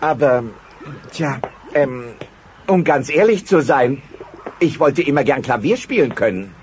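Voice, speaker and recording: A man plays a timid, bumbling tyrannosaurus with a mid-pitched voice. He is courteous and hesitant, forever stumbling over his words. The audio drama sounds slightly muffled. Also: animated, measured pace, lightly acted. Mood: wistful, earnest. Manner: hesitant, polite, stammering. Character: shy, bumbling, good-natured adult tyrannosaurus family friend. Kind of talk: German audio play acting. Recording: dull cassette sound, faint noise.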